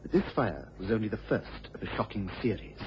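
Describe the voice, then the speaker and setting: Earnest young English inspector, lively intonation. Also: male voice, lowish voice, a little fast. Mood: grave, respectful. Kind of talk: radio drama acting. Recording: dull vintage radio recording, faint noise.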